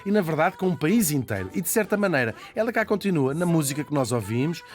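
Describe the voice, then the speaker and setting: A man with a Portuguese accent telling a lively radio biography, animated, laughing often and adding quick asides. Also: fairly high pitch. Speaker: radio columnist. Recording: music bed under radio studio talk.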